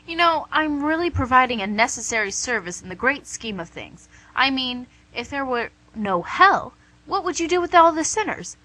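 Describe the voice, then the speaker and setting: A woman's voice: high voice, very animated, normal speed, pushed and bright, no room sound, exaggerated voice, poor audio. A woman playing a smug, coaxing female devil in a comic radio play, with a theatrical, glib delivery. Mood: self-satisfied. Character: smug, wheedling, image-conscious female devil called Lucy.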